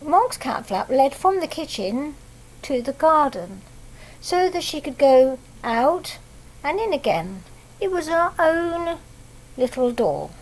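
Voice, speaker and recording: A woman reading a picture book aloud to young children, pausing to explain things simply and ask them questions. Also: sits high, very animated, poor audio. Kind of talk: read-aloud picture book storytelling with asides.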